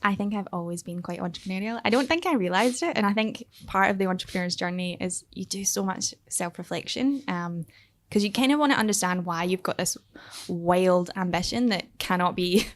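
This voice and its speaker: A woman's voice: mid-range voice, a little fast. A young woman with a Scottish accent speaks as a guest on a podcast. She laughs often and talks loosely, full of fillers and restarts. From Scotland. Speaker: startup founder and guest. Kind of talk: casual podcast interview.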